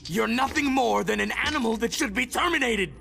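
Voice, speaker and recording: An actor playing a hateful, aggressive enemy of mutants in a video game, with a strained delivery. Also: male voice, very high voice, a little fast, creaky, pushed and bright, soft background music, exaggerated voice. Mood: hostile, panicked. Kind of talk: video game combat acting.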